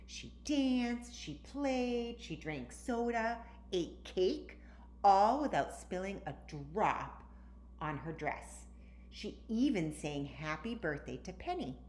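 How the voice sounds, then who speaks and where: A woman reading a children's story aloud with animated, emphatic narration. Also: fairly low pitch. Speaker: storytime reader. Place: indoor room, recorded at home. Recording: roomy, echoey, faint background noise.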